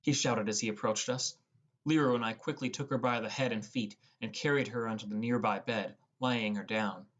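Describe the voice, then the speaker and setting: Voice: mid-range voice, moderate pace, lo-fi sound. An audiobook narrator reading a scripted first-person fantasy story.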